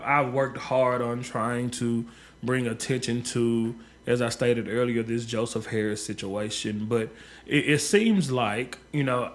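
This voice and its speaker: A man, a local community organizer making an earnest radio appeal, often restarting and repeating words. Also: mid-range voice. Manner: halting, many repeated words and fillers. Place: radio studio.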